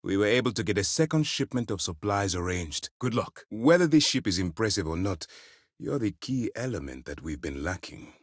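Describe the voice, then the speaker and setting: An actor playing a stern, commanding space military commander in a video game, speaking in a formal, measured, authoritative way. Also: male voice, lowish voice, sing-song, dry recording, clean studio sound. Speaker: fleet commander.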